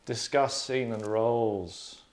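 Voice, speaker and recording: Man in his thirties, mid-pitched voice, deadpan, hesitant, casual discussion host. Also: slow pace. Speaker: host and narrator of a table-read show. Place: small furnished lounge, indoors.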